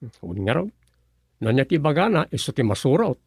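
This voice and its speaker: A man's voice: mid-range voice, sing-song, fairly quick, husky, no echo, clean studio sound. A man with a Filipino accent playing a villager on a call in a radio comedy drama. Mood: doubtful. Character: male villager Dom, plain-spoken friend on the phone.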